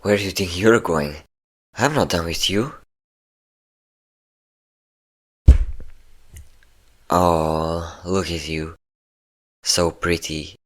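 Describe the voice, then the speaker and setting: Man playing a deep-voiced, teasing male vampire. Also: fairly bright tone, heavily acted. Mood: teasing, flirtatious. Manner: taunting, flirtatious, slow endearments. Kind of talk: intimate roleplay audio acting.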